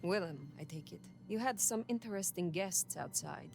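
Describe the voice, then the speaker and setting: A woman voicing a cold, commanding female vampire who questions others calmly, from a video game. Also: on the low side, normal speed, gravelly, noisy background. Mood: cool, probing. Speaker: game character. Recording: game audio with music score.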